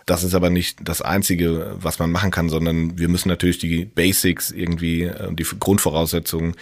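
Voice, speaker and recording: A man answering interview questions about hospital staff, measured and steady, with frequent hesitations. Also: low-pitched, creaky, no echo.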